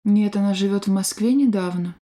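Female course voice, soft dark tone, careful even delivery for drill prompts and answers. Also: medium pitch. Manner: clear, deliberate, evenly paced. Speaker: language course speaker. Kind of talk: scripted language drill reading.

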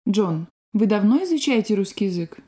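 Mellow woman reading lesson drills. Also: mid-range voice, lively intonation. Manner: clear, measured, textbook delivery. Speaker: language course reader. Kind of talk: scripted language drill reading.

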